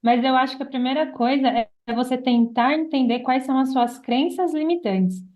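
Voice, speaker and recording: A Brazilian woman with a very high-pitched voice speaks as a podcast guest. She gives earnest, conversational advice and keeps checking in with little tag questions. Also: normal speed, a little mellow.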